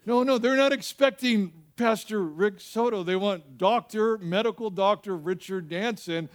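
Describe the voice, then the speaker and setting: A man preaching as a pastor in an animated, conversational way, repeating phrases for emphasis and telling humorous personal stories. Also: high-pitched, unhurried. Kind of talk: sermon with personal anecdotes and acted-out dialogue.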